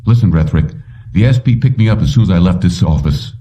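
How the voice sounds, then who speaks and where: A man's voice: sits low, animated, fairly quick, mellow, voice pushed up. A man voicing a determined, defiant male mechanic in an audiobook, firm and insistent, on a dull recording. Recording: muffled, distant-sounding dull recording.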